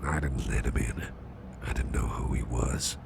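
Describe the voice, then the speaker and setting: An extremely deep, gruff male boss, acted by a man for intimate ASMR roleplay, heavy with gravel and half-whispered. He rambles slowly and hesitantly, like a protective, caring confidant. There is clear background noise behind him. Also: heavily acted. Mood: musing, conspiratorial. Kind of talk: ASMR roleplay, soft intimate storytelling to the listener. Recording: clearly noisy background hiss or ambience.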